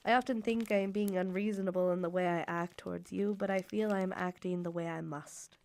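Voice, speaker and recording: Wounded Victorian little sister, low and creaky, formal letter-reading for radio drama. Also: female voice, steady tone, darkish tone. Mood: reproachful, resigned. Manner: formal, measured, emotive period diction.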